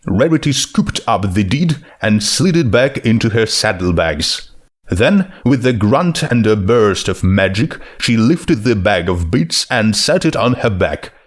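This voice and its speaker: A man narrating scripted fiction in a measured, dramatic storytelling manner. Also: on the low side, very animated.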